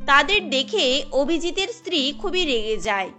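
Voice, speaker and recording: A woman's voice: high-pitched, sing-song, normal speed, sharp tone. A woman narrating a scripted cartoon story as its storyteller. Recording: music bed under the voice.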